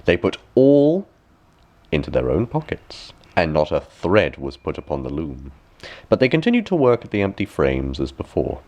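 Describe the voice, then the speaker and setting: A man reading a fairy tale aloud as an expressive storyteller, with measured, deliberate phrasing. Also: very deep voice.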